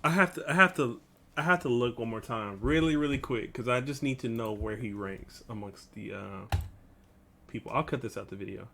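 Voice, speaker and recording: A man's voice: mid-range voice, moderate pace, creaky, a bit bright. A man hosting a reaction video, giving casual, slangy commentary. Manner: informal, slangy. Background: music.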